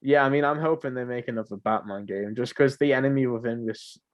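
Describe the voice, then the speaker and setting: A man's voice: mid-range voice, brisk. A man co-hosting a podcast in casual, conversational chat about games. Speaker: podcast co-host.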